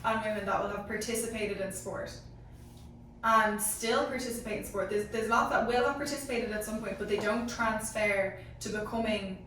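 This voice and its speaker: A woman's voice: mid-range voice, moderate pace, fairly bright tone. Woman in a very echoey room. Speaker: panelist in a sports team discussion. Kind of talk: casual group discussion. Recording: very echoey room, distant mic, faint noise.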